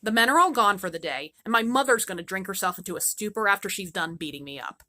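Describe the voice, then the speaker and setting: A woman's voice: medium pitch, expressive, fast, bright, forceful tone. A woman voicing a crude, tough robber girl who swears and makes threats with her knife. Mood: tough, teasing.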